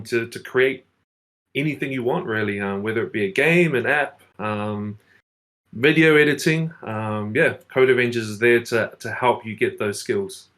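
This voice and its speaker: A man's voice: medium pitch, a bit bright. A man working in localization, explaining things hesitantly with many fillers on a video call panel. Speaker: localization specialist. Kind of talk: casual panel chat.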